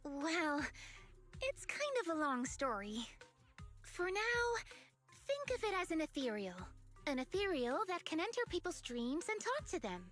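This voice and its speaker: A woman voicing an excitable, eager young woman researcher obsessed with monsters in a video game. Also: very high-pitched, a little slow, faint music behind, full character voice. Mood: excited, musing. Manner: animated, eager, dramatic.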